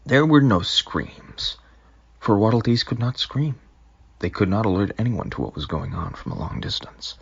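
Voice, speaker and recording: A man's voice: lowish voice, sing-song, moderate pace, creaky, slightly rough, dry recording, highs cut off. A man reading his own fanfic aloud as dramatic storytelling, sometimes dropping into whispers. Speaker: fanfic reader.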